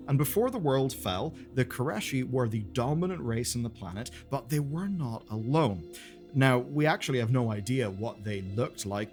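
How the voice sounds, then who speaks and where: A man hosting a gaming video, reading scripted narration in a conversational, slangy way with casual asides. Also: mid-range voice. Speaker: host of a gaming lore video channel. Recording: music playing behind the voice. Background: music.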